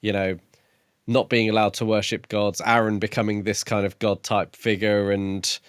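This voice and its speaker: A man with a slightly low voice, recorded close and dry, speaks as a podcast co-host. He talks through books casually, sounding thoughtful and agreeable. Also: level tone, normal speed, clean tone, no room sound. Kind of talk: casual book discussion.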